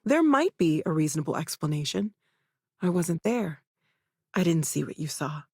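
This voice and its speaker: A woman's voice: low-pitched, moderate pace, slightly rough, no echo, full character voice. A young woman scientist from a space exploration game. She sounds earnest, caring and a little wry, talking naturally and expressively. The recording is dry and close, with no room around the voice. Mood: calm, thoughtful. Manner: conversational, expressive. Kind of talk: naturalistic video game voice acting.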